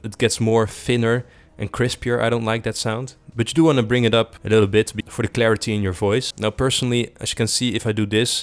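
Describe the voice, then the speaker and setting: Fast-talking, low-voiced man. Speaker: tutorial host. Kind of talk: casual software tutorial narration. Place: indoor room with little acoustic treatment.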